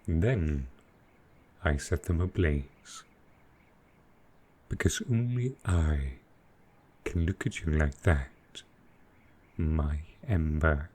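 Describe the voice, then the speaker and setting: A man plays a very low-voiced male dragon in an intimate boyfriend roleplay. He speaks slowly and tenderly, with hesitant pauses. He is affectionate and possessive, and a little lost with modern technology. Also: darkish tone, slightly rough, exaggerated voice. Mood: possessive, smouldering. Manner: slow, tender, hesitant speech.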